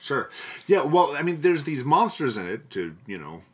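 A man chatting informally as a podcast panelist, in a halting way. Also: fairly high pitch, big pitch swings, husky. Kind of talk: casual chat.

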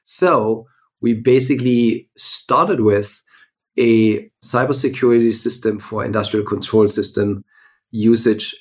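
A man's voice: fairly low pitch, a little mellow. A man giving conversational, explanatory answers as an interview guest. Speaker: guest, tech company CEO.

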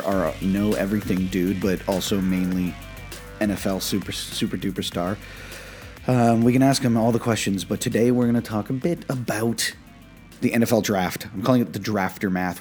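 Upbeat lower-voiced male podcast host. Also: darkish tone. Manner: loose and upbeat, many fillers and restarts. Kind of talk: casual sports betting podcast chat.

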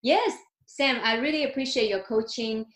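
A woman's voice: a little high, normal speed, a bit bright, echoey room. A woman in her thirties from China with a Chinese accent, speaking as an interview guest over a video call, earnest and grateful, with small grammar slips. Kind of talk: interview, telling her life story.

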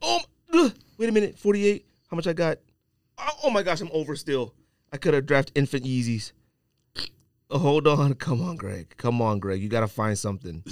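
A man's voice: a little high, very animated, moderate pace, no room sound, clean studio sound. A man in casual sneaker podcast banter, talking to himself and complaining in slangy speech. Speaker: podcast host. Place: podcast studio.

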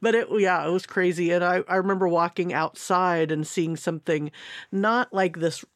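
A woman in casual podcast conversation, telling anecdotes in a relaxed, informal style. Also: on the low side, moderate pace, no echo, clean studio sound. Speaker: podcast panelist. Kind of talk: casual storytelling chat.

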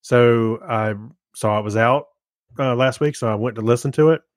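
A middle-aged man co-hosting a podcast, chatting casually in a low-key, hesitant, meandering way. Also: moderate pace, no echo, studio quality. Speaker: podcast co-host. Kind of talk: casual chat, giving a review.

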